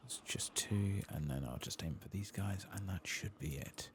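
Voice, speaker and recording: A man doing soft-spoken, partly whispered ASMR game commentary, murmuring to himself in an understated way. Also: sits low, normal speed, a little hiss or hum.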